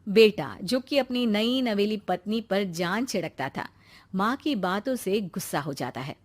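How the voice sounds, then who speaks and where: A woman's voice: mid-range voice, animated, unhurried. A woman narrating a scripted family story.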